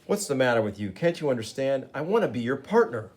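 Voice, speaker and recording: A man voicing a touchy, shrill man in an ape suit who rants and pleads to be taken seriously. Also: big pitch swings, fast. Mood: pushy. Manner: whining, rising to frantic yells.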